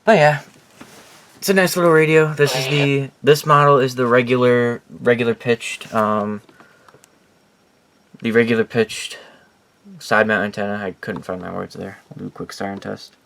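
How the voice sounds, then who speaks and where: A man's voice: medium pitch, fairly even intonation, moderate pace, gravelly, pauses often, fairly bright tone, slight background noise. A man casually and informally presenting his collection in a rambling, unscripted vlog.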